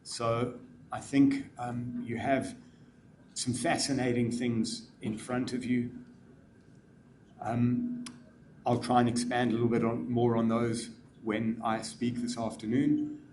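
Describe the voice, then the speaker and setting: A middle-aged man with a South African accent giving measured welcome remarks at a symposium, with frequent ums. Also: medium pitch, little pitch movement, a little mellow, a little hiss or hum. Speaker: conference speaker, program manager.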